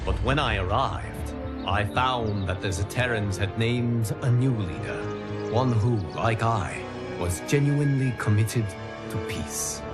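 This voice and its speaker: Grave male guardian, stern, slow measured delivery, formal dramatic game narration, loud music underneath. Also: mid-range voice. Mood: solemn, resolute. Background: loud cinematic music.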